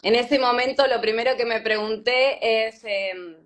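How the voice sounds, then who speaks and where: A woman in her thirties with an Argentine Spanish accent, from Patagonia, telling her story as a guest in an interview, thoughtful and pausing often with fillers. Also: fairly high pitch. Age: 30s. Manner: thoughtful, many fillers and restarts. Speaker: yoga teacher, guest. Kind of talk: reflective interview talk.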